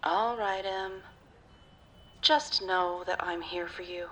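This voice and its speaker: A woman acting as a caring, gently insistent best friend in naturalistic audio drama dialogue. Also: fairly low pitch, fairly even intonation, slow, a little hiss or hum. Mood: concerned, teasing.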